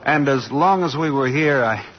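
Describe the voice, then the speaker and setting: A man's voice: mid-range voice, normal speed, a little hiss or hum, natural voice. Guy acting a nice mild apologetic fella in an old radio play, mid voice, muffled sound. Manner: mild, hesitant, polite. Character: easygoing, apologetic gentleman neighbor, mild and friendly. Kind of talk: 1940s radio drama acting. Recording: muffled vintage 1940s radio broadcast.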